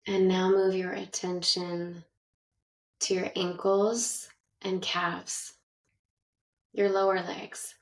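Woman doing guided meditation, really slow, lowish voice, roomy echo and a bit far from mic. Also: flat delivery.

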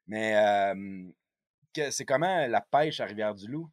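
A man's voice: on the low side, lively intonation, unhurried, no room sound, clean studio sound. Man podcaster with a Quebec French accent. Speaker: podcast host. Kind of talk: casual chat and interview.

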